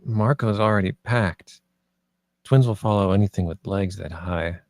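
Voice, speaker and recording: A man's voice: lowish voice, moderate pace, darkish tone, no room sound, clean studio sound, lightly acted. A man reading the part of a wary, protective young leader at a screenplay table read, in a questioning, conversational way. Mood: curious, hesitant.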